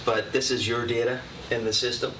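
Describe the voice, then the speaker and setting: A man from Northern Ireland with a Northern Irish accent, presenting his software to a county committee in a relaxed, conversational way. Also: mid-range voice, brisk. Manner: relaxed, conversational, with filler words. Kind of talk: presenting at a public meeting. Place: county committee meeting room. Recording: roomy hall, distant mic, steady noise.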